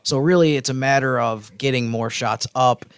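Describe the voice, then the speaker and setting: A man's voice: mid-range voice, moderate pace, clean tone, no echo. A man, a podcast co-host, chatting casually in a matter-of-fact way and citing statistics.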